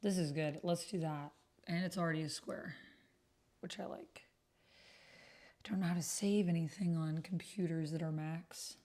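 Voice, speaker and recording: A woman's voice: lowish voice, a little mellow. A woman streamer chatting casually and thinking aloud as she hosts an online roleplaying game, sometimes muttering to herself. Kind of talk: casual livestream chat while playing.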